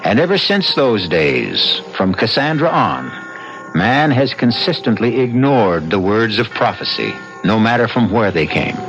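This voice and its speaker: Low-voiced, dramatic male radio mystery host. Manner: grandiloquent, dramatic. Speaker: host and narrator. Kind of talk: 1970s radio mystery scripted narration. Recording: dull old radio broadcast. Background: music.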